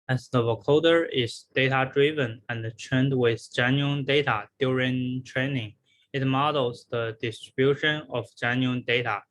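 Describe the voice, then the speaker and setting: A man presents a research paper in a slow, measured delivery at a medium pitch. He sounds like he is following prepared material, moving haltingly with pauses and repeated words. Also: fairly bright tone, a little hiss or hum, rough recording. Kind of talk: scripted academic presentation.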